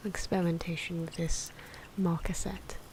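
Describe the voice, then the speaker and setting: Soft, low-pitched whispery woman, even tone. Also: normal speed. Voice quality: soft, breathy, often dropping into whisper. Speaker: ASMR video creator narrating own drawing. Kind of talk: soft-spoken ASMR drawing commentary. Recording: noisy, pencil scratching and ambient sound. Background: pencil and pen noises, outdoor wind.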